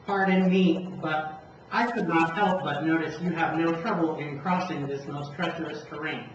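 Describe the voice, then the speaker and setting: A man's voice: a little high, steady tone, moderate pace, slight background noise, natural voice. A man voicing a polite, literal-minded robot in an amateur puppet show, speaking in a stiff, formal, wordy way. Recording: very echoey, distant, muffled room.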